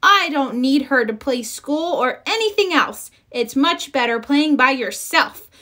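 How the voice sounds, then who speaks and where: A woman acts out a feisty little six-year-old girl cub in a storybook. Her voice is high and bright, with animated, emphatic delivery and an excitable, easily indignant temper. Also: measured pace, exaggerated voice. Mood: angry, sulky. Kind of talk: children's storybook read-aloud.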